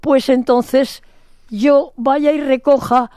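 A woman's voice: high-pitched, steady tone, takes it slowly, mellow, no echo, studio quality. An older woman from Spain giving a chatty, playful interview, rambling and restarting her sentences often. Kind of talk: casual phone interview.

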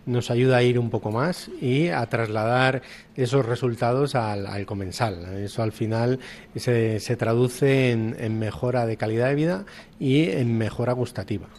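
A man's voice: medium pitch, normal speed, a little mellow. A man, a chef answering interview questions and searching a little for his words. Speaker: professional chef, guest.

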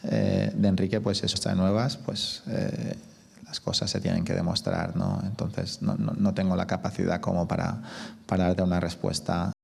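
A man answering a question in a hesitant, measured way. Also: sits low, flat delivery, creaky, darkish tone, slightly rough, long reverb tail. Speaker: interviewee.

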